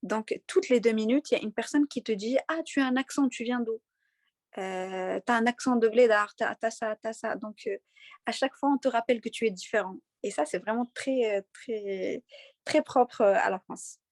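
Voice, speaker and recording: A young woman from Morocco with a light Moroccan accent, answering interview questions in an earnest, halting way with many fillers and restarts. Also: on the high side, darkish tone, no echo, clean studio sound. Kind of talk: conversational interview.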